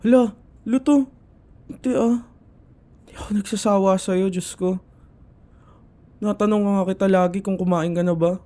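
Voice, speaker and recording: A man with a Filipino accent playing a shy, affectionate boyfriend in his twenties in an intimate ASMR roleplay, speaking softly and hesitantly. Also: high voice, steady tone, close to an everyday voice. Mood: flustered, playful. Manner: soft, hesitant, halting, slipping between Tagalog and English.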